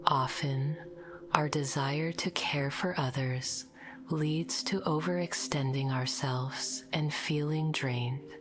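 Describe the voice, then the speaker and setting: A woman narrating a scripted guided meditation in a soft, breathy, whispery voice, with a gentle, calm and soothing delivery. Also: deep voice.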